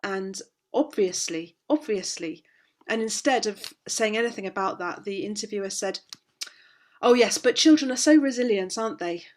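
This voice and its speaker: A woman with a slightly hoarse voice, a guest on a video call speaking with indignant passion about parenting. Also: medium pitch, lively intonation, normal speed. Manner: impassioned, indignant, with many restarts. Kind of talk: conversational interview, passionate advocacy.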